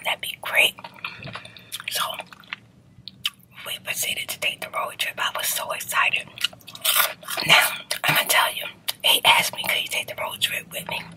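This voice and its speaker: A woman in her forties whispering a personal dating story in a chatty way, with lots of fillers. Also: low-quality recording.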